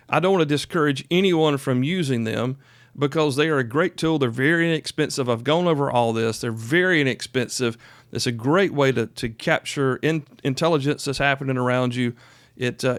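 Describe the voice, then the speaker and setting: A man in his fifties speaks in a dry recording with a Southern US accent. His delivery is that of an informal podcast host, plainspoken and folksy, leaning firmly on his points. His pitch sits around the middle for a man. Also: no echo. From South Carolina, United States. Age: 50s. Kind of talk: informal solo podcast talk.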